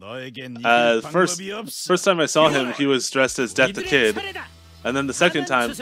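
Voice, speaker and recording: A young man in casual banter on a game stream voice chat, talking haltingly and swearing freely. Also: medium pitch. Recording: music behind the voice. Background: music.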